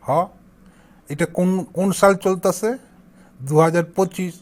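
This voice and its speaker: A man's voice: sits high, fairly even intonation, measured pace. A man playing a crafty, pushy shopkeeper in an amateur comic cartoon, scolding and badgering in an eastern Bengali accent. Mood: accusing. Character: pushy, crafty male shopkeeper demanding unpaid money.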